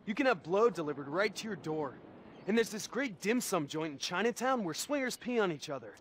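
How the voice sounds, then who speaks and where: Very high, brash young buddy. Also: male voice, expressive, a little fast, fairly bright tone, a little hiss or hum. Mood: blithe. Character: crude, carefree young male best buddy. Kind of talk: satirical video game film acting.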